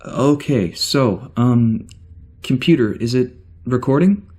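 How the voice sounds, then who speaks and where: A man's voice: medium pitch, lively intonation, darkish tone, slightly rough, faint music behind. A man playing an uneasy amnesiac spaceship security officer, rambling hesitantly through a spoken log in a science fiction audio drama. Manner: halting, hesitant, rambling, wry.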